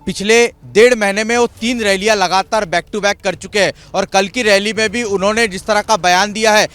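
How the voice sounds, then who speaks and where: A man's voice: sits high, fairly bright tone. A man reporting live for television news, explaining in long runs broken by hesitations. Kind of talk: live report in conversation with anchor.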